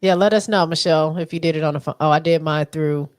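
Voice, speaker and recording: Stuffy American woman co-host, lower voice, reads box scores with fillers. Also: steady tone, fast. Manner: reads stats with many fillers. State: stuffy from allergies. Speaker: podcast co-host. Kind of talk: casual sports recap.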